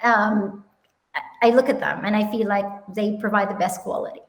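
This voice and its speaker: A woman in her thirties with a Filipino accent, telling her founder story in an animated, upbeat way with frequent ums and laughs, on a video call. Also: medium pitch, moderate pace, reverberant. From Philippines. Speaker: guest, company founder. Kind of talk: casual interview chat. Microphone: webinar video call.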